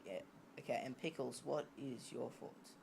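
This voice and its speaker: Man, mid-pitched, casual host of gaming talk, slight background noise, online call. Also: moderate pace, vocal fry, a little mellow, low-quality recording. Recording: faint noise, online voice chat.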